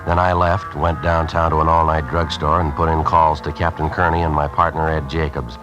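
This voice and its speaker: An actor playing a tough undercover police detective in a 1950s radio drama, clipped and hard-boiled, also narrating. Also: male voice, very deep voice, little pitch movement, moderate pace, muffled recording. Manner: clipped, hard-boiled, bullying when in character. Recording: old radio broadcast with faint music.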